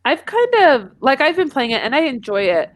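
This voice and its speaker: A woman podcast host with a fairly high, very lively voice chats casually. Her pitch moves around a lot as she talks with friends. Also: a little fast, no room sound. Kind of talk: casual chat.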